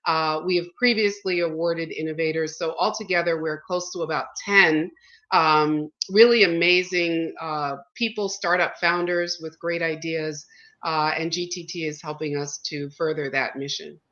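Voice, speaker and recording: A woman's voice: low voice, fairly even intonation, moderate pace, a bit bright. A middle-aged woman from Guyana with an American accent, a panel guest talking enthusiastically with many fillers over a video call from a small room. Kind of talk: casual video-call interview. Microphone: laptop webcam on a video call. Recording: dull, lo-fi video-call audio.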